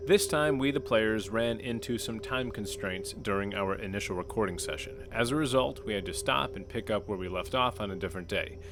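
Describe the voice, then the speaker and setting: A man who plays in and edits a tabletop game show, speaking in a lively way. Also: lowish voice, noisy background. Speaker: player and editor. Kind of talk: casual chat and recorded intro.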